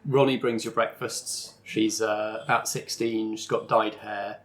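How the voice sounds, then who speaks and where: A man's voice: on the low side, level tone, a little slow, a little hiss or hum. A man narrating a tabletop roleplaying game as its game master.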